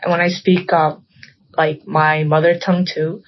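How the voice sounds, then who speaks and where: A woman's voice: low voice, flat delivery, measured pace, dull audio. A young woman guest in a casual podcast chat, rambling and filling her talk with "like" and "um". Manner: rambling, heavy use of "like" and "um".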